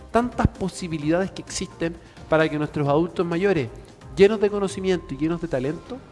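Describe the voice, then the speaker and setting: A man's voice: fairly high pitch, darkish tone, big-room sound. A man talking earnestly on a TV show, speechlike and full of fillers. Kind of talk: earnest studio talk.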